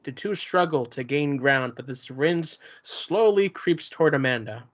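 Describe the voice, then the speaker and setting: Plain, steady stage-direction reader. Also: male voice, a little high, moderate pace. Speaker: narrator reading stage directions. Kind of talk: table read of stage directions. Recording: muffled lo-fi recording.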